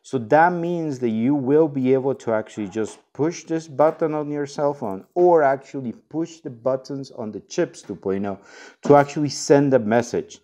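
A man with a mid-pitched voice hosts a product tutorial. He talks informally, as in a casual how-to video. He explains things one step at a time. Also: moderate pace, dark tone. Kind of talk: casual instructional walkthrough.

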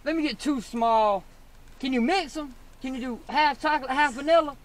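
A man bantering casually and slangily in deadpan jest as he orders from inside a car. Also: very high-pitched, normal speed. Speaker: drive-thru customer and prankster. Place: inside a car at drive-thru. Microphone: camera in back seat. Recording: noisy car interior, engine hum.

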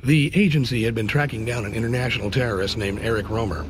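A man's voice: medium pitch, fast talker, lightly acted. A man playing a terse, hard-bitten senior government agent in a video game cutscene. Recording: loud vehicle and ambient noise.

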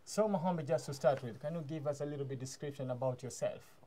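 A man's voice: fairly high pitch, fast, warm tone. A young man hosting an interview show in a small studio, giving enthusiastic introductions in loose, informal phrasing. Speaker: show host. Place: small studio room with mic stands.

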